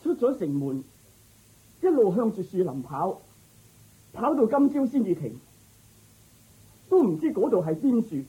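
Woman doing a humble servant guy for radio drama, pleading, husky, voice jumps around. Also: mid-range voice, sing-song, unhurried, long pauses, mellow, dull audio, exaggerated voice. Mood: respectful, reporting. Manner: deferential, pleading. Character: loyal, humble male royal groom, fearful of blame.

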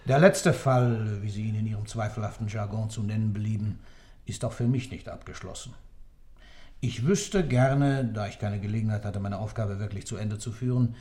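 A man's voice: on the low side, fairly even intonation, normal speed, warm tone, natural voice. A male actor playing a sly, proud old man under interrogation in a German radio play, speaking in a sardonic, condescending, theatrical way. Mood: mocking. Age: older.

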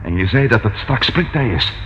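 An actor playing a detective's excitable, grumbling companion in a Dutch radio play, expressive and easily startled. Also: male voice, medium pitch, moderate pace, lo-fi sound, lightly acted. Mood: nervous, panicky. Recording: noisy old radio drama recording.

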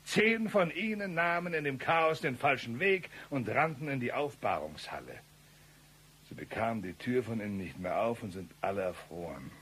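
An actor in a comic radio play, playing an excitable, blustering gunman out for revenge, with a theatrical, rough delivery. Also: medium pitch, normal speed. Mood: grimly dramatic. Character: excitable male gunman avenging his brothers, melodramatic and absurd.

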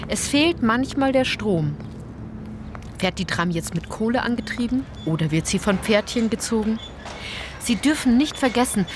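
A woman's voice: medium pitch, background noise, natural voice. An actress playing a sardonic female tour guide in a German radio play, addressing listeners directly with theatrical irony. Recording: music under the voice. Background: music.

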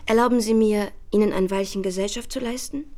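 A woman's voice: medium pitch, steady tone, a little fast, warm tone, close to an everyday voice. An actress in a German radio play playing a chatty, coquettish young society lady who is arch and playful, with confiding whispers. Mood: coy, pleading.

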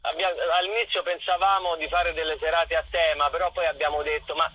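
A man's voice: a little high, little pitch movement, brisk, breathy edge, no echo, narrow, tinny audio. A man chatting casually as a theatre performer in a radio interview, often repeating words and restarting phrases over a phone-style line. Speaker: theatre performer interviewed about his show.

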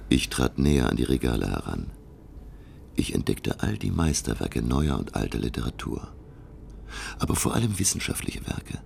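An actor playing a curious, awestruck male scholar who narrates his undersea voyage in a hushed, deliberate, gravelly voice for a radio play. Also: extremely low pitch, very animated, a little hiss or hum, exaggerated voice. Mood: awed, pensive.

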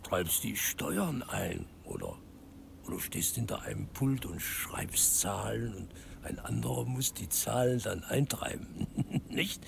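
A man's voice: on the low side, big pitch swings, slow pace, creaky, background noise, full character voice. An actor playing a tipsy, insistent old man with slurred speech in a radio play. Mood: slyly probing. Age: older.

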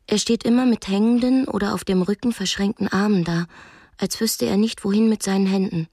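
A young captive woman , played by a radio drama actress, speaks in an intimate, educated way. Her medium-pitched voice is husky and often breathy, anguished yet defiant, in a very dry studio sound. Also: a little mellow, dry recording, close to an everyday voice. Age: young adult. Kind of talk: radio drama acting, intimate diary monologue and dialogue.

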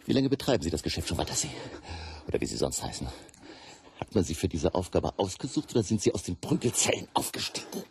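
An actor in a radio drama playing a cold, menacing journalist with a controlled, commanding and contemptuous way of talking. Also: male voice, deep voice, sing-song, quick pace, darkish tone, a little hiss or hum. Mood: bitter scorn. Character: cold menacing German journalist living under false names.

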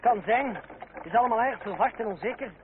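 A man's voice: extremely high pitch, brisk. An actor playing an earnest, brooding young nobleman in a radio play, restrained and a little hesitant in his questioning. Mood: alert. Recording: noisy, narrow-band old radio recording.

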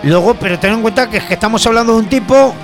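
A man with a notably high voice and a Spain accent presents a rock music show. He talks in a casual, colloquial, jokey way, with guitar music playing behind him. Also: racing. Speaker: music radio show host.

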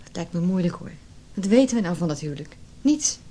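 A woman's voice: on the low side, expressive, fairly quick, dark tone. An actress playing an anxious, wounded, introspective married woman in a Dutch radio play. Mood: wary, reluctant. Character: anxious, wounded married woman recalling her lonely childhood.

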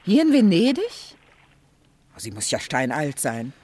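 A woman's voice: low voice, huge pitch swings, measured pace, mellow, exaggerated voice. An actress playing a worldly, ironic middle-aged society lady in a 1960s German radio drama, teasing and questioning expressively. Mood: intrigued. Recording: faintly noisy 1960 radio recording.

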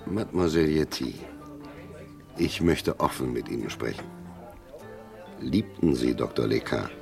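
An actor playing a gruff, probing police commissioner in a German radio crime drama, with a gravelly, husky voice and an insistent, commanding manner. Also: male voice, very deep voice, animated, measured pace, darkish tone, faint music behind, full character voice. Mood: measured.

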